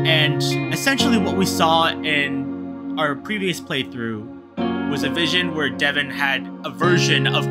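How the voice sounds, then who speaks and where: An upbeat, excitable man hosting a visual novel playthrough, talking to his viewers as he goes. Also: fairly high pitch. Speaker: host of a video game let's-play channel. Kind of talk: enthusiastic visual novel playthrough commentary with dramatic read-aloud narration. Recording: music playing behind the voice.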